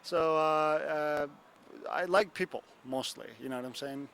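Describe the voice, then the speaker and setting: A man's voice: fairly high pitch, unhurried, creaky, a bit bright, slight background noise. A young man from Turkey, a photographer, answering interview questions in a loose, rambling way with lots of fillers. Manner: rambling, many fillers and "you know what I'm saying". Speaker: photographer guest. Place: bar and grill.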